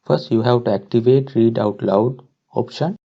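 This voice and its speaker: Man with dull-sounding, creaky tutorial voice. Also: medium pitch, moderate pace. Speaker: tutorial presenter. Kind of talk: instructional screen-recording narration.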